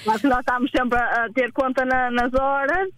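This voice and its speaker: A woman's voice: high voice, fairly even intonation, normal speed, fairly bright tone. A woman with a European Portuguese accent calling in to a radio show as a contest winner, chatting cheerfully. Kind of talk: phone-in chat.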